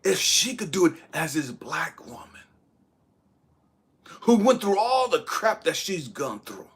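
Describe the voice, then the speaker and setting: A man giving a blunt, profane motivational talk, shouting one moment and dropping to hushed asides the next. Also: a little high, sing-song, measured pace, breathy edge. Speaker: motivational speaker. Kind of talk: impassioned motivational monologue.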